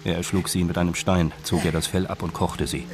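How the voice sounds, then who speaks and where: A man's voice: deep voice, level tone, fairly quick, over music. A man reading scripted narration for a radio crime drama.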